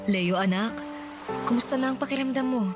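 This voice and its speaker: A woman's voice: on the high side, brisk, natural voice. Actress as a tender, reassuring mother, music behind. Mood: tender, soothing. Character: caring, reassuring widowed mother of two young boys. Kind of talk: Tagalog radio drama acting.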